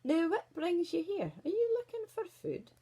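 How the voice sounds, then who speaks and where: A Scottish woman voicing a big, sly male wolf in a storybook, flattering and over-polite. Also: extremely high pitch, normal speed, voice pushed up. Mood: oily charm.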